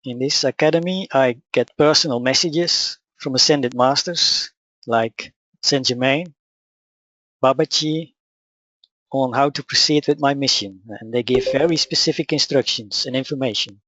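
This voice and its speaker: Dutch-accented man, calm and measured. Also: medium pitch, no room sound, muffled recording. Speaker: spiritual teacher. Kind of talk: personal account and teaching talk.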